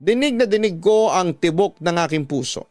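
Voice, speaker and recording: A Filipino man with a slightly high voice tells a dramatic radio story in slow, emotive phrases. He is recorded close and dry, with music playing underneath. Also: lively intonation, darkish tone, no room sound. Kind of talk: dramatic scripted story narration. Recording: music bed behind a dry studio voice.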